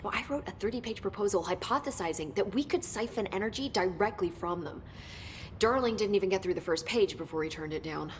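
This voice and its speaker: A woman's voice: medium pitch, a little fast, a little hiss or hum, natural voice. A woman playing an eager, curious young American research scientist in a video game, chatty and explanatory. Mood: earnest, intrigued. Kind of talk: video game dialogue acting.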